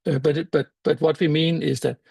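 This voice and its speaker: Older danish guy giving a talk, super gravelly husky voice, stops and restarts a lot, dry sound. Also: a little high, little pitch movement, a little mellow, no room sound. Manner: thoughtful, halting with fillers and restarts. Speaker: meetup speaker. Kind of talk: conference talk.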